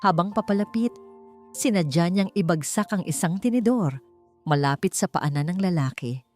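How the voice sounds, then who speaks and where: A woman with a fairly low voice reads a dramatic story as an audiobook narrator. Her delivery is deliberate and suspenseful, landing short phrases with emphasis. Soft music plays behind her. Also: expressive. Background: background music.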